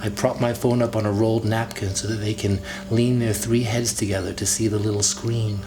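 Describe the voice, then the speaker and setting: A man's voice: fairly low pitch, little pitch movement, a little fast. A man narrating a literary audiobook in the first person, with dramatic delivery. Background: music.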